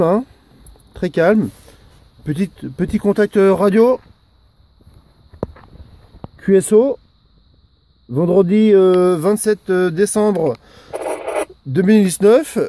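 A man making halting, formulaic calls as a radio operator, repeating himself in a procedural style. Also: high-pitched, mellow, a little hiss or hum. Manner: repetitive, halting, formulaic announcing. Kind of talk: CB radio calling, formal procedural hailing.

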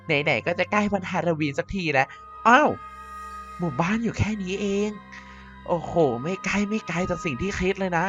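A woman's voice: on the low side, expressive, normal speed, a bit bright, voice pushed up. A woman voicing a vain, mischievous female vampire queen in a Minecraft cartoon, talking in a theatrical, self-important way over faint music. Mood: scheming. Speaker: narrating YouTuber doing all voices. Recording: slightly dull with faint music.

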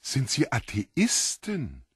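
Husky, eerily courteous foreign gentleman. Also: medium pitch, wildly swooping pitch, no room sound, clean studio sound, heavily acted. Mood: intrigued, delighted. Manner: hesitant, flowery politeness with savoring pauses. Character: eerily courteous foreign gentleman, about forty, slyly delighted. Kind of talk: radio play acting.

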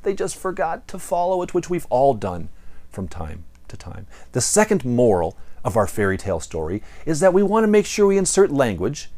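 A middle-aged American man presents to camera like a storyteller. His delivery is theatrical and emphatic, playful as he teaches, with a medium-pitched voice picked up on a lapel mic. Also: wildly swooping pitch, moderate pace, dark tone. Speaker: presenter of property management lessons. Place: indoor studio in front of a backdrop.

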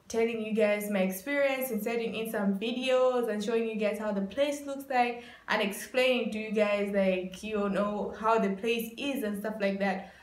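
Female vlogger, twenties, chatty and casual, mid-pitched, roomy indoor camera sound. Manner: chatty, repetitive emphasis with frequent "like". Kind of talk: casual vlog narration. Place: small indoor room with bare walls. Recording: roomy echo.

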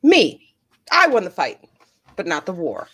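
A woman's voice: fairly low pitch, huge pitch swings, measured pace, fairly bright tone, breathy edge. An American woman from Wisconsin reading a part and bantering at a live table read over a video call. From Wisconsin, United States. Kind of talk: character reading and casual banter.

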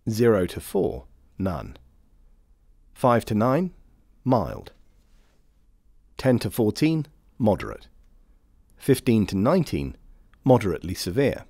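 A man narrates a training film from a script in a fairly low voice. His intonation moves around a good deal while the delivery stays formal and measured. The sound is dry and close, with no room echo. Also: wildly swooping pitch, creaky, warm tone, breathy edge.

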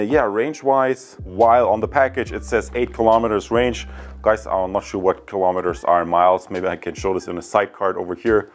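A man in his thirties giving a casual, unscripted product review in a chatty, rambling way, prone to digressions. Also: sits low. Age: 30s. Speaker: product reviewer and channel host. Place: indoors in a home room.

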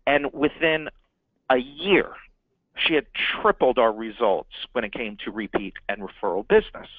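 A man teaching business owners about marketing, leaning hard on key words and repeating them for emphasis. His voice sits at an ordinary middle pitch. The sound is thin and phone-like, with a dry, close feel and no room echo. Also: moderate pace. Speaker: marketing consultant. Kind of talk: business training talk.

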